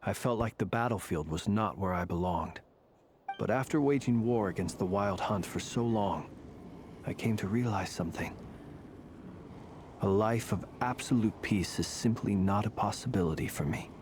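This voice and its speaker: An actor playing a composed, elegant fae warrior in a video game, speaking in a measured, formal and reflective way. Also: male voice, on the low side, background noise, natural voice. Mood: calm, reflective. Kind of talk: dramatic video game voice acting.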